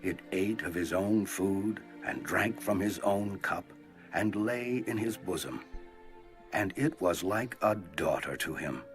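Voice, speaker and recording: An actor playing a solemn, stern prophet pronouncing judgment in a measured, dramatized scripture reading. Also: male voice, deep voice, over music. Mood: solemn storytelling. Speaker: audio Bible voice actor.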